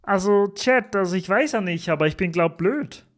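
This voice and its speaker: A young man with a voice a little higher than most men's. He chats loosely with his stream audience, joking, swearing and repeating himself. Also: lively intonation, moderate pace. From Switzerland. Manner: chatty, jokey, repeats himself, swears at chat. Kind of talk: casual livestream chat with viewers.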